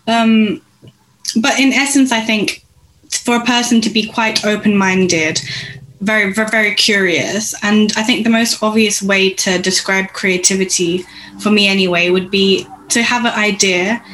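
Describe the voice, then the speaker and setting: A young woman in her twenties, an illustrator being interviewed, who answers thoughtfully and lists her ideas with small pauses. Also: mid-range voice, fairly bright tone, a little hiss or hum, rough recording. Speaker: illustrator, podcast guest.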